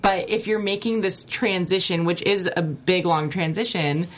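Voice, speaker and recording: A frank, animated woman co-hosting a casual podcast. Her voice sits slightly low, and she fills her talk with "like" as she works through her points. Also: moderate pace. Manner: frank, animated, says "like" often. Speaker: podcast co-host. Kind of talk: casual chat.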